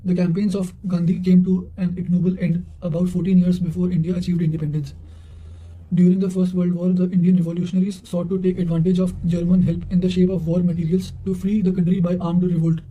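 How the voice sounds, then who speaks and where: A man with a high-pitched voice and a very dark, soft-edged tone reads study material aloud. His delivery is careful and flat in intonation, with small stumbles over words as he goes. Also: fast, low-quality recording. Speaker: reader of history study notes. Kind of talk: reading quotations aloud for exam revision.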